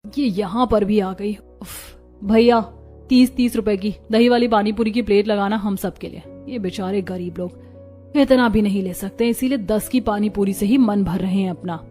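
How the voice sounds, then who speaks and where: A woman's voice: mid-range voice, moderate pace, mellow, faint music behind, close to an everyday voice. A woman as a haughty rich college girl. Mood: mocking. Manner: haughty, disdainful. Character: rich, snobbish, spoiled young college girl. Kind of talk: Hindi cartoon moral story acting.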